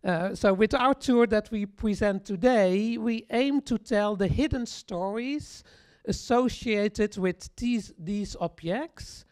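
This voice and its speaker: A presenter speaking at a launch event in a measured, deliberate way, sometimes correcting a word mid-phrase. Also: mid-range voice, a little mellow, smooth. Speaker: tour project presenter. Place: event hall.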